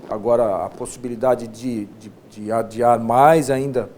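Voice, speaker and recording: A Brazilian man hosting a casual interview, phrasing his questions in a halting, repetitive way with frequent checking tags. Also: steady tone, darkish tone. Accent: Brazilian Portuguese. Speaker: interview host, state deputy. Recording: faint rumbling background noise.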